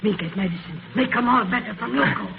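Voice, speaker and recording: An actor in an old radio drama playing a friendly woodland healer who speaks broken pidgin English in short phrases. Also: male voice, high voice, big pitch swings, fast talker, vocal fry, heavily acted. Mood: caring. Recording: tinny, noisy old radio recording.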